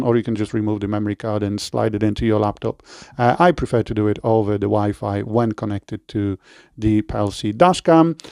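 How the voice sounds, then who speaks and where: A man with a Polish accent giving a relaxed product review and installation walkthrough, with frequent fillers and self-corrections. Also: mid-range voice, clean tone, dry recording, clean studio sound.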